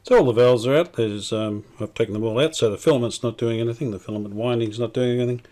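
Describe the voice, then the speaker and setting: A middle-aged man, talking casually while he tests and repairs an old radio in his workshop, thinking aloud with many pauses and restarts. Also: medium pitch, mellow. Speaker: radio restorer, channel host. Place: home workshop bench.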